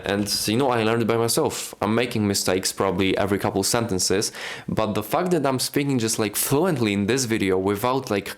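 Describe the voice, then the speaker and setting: Young male vlogger, Polish accent, slightly low voice, casual, filler-heavy. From Poland. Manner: rambling, many fillers like "you know" and "like". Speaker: YouTube creator. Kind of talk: casual talking-head vlog. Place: indoors at home, speaking to camera. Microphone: camera mic, in front of camera.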